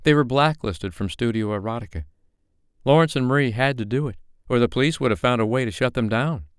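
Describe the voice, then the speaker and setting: A man's voice: mid-range voice, a little fast, clear, resonant tone, no echo, clean studio sound, voice pushed up, natural voice. A man voicing a haughty, catty woman in an audiobook, irritated and scornful in manner. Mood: gossipy, scornful. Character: haughty, catty statuesque woman, sharp and dismissive.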